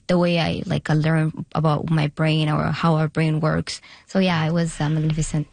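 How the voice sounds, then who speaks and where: A young woman with a low voice and a gravelly edge, speaking haltingly with many restarts. She is a guest in a radio studio interview. The sound is close and dry. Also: little pitch movement, a little mellow, no echo. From Eritrea, raised in Sudan. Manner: halting, frequent restarts and hesitations. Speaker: guest, pageant contestant.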